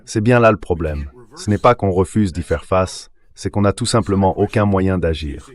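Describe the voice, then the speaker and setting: A man's voice: deep voice, moderate pace, lightly acted. A man's synthetic dubbing voice, speaking as a guest giving translated interview commentary.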